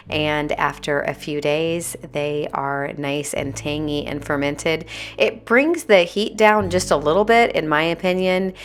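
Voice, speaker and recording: A woman with a raspy, hoarse voice, chatting candidly as a homemaking vlogger. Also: deep voice. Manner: chatty, candid, a little exasperated. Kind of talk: casual vlog chat.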